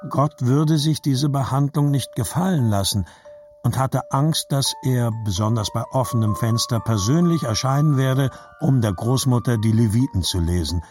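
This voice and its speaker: A man's voice: medium pitch, normal speed, over music, close to an everyday voice. A man narrating scripted literary childhood memories as a grown grandson in an audio drama. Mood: reflective.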